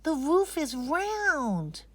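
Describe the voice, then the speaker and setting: A woman voicing an excitable little girl full of wonder in a children's storybook, exclaiming and drawing out her words. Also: high voice, very slow, no echo, pitched above the performer's own voice, exaggerated voice. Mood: wide-eyed wonder.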